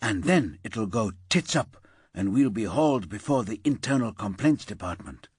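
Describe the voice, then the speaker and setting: A man voicing a level-headed senior female detective, calm and pragmatic. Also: fairly low pitch, moderate pace, breathy edge, no echo, studio quality.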